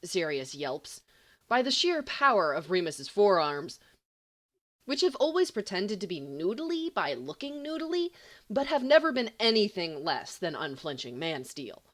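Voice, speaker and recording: Animated woman narrating fiction. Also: lowish voice, a little slow. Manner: expressive, dramatic storytelling. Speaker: audiobook reader.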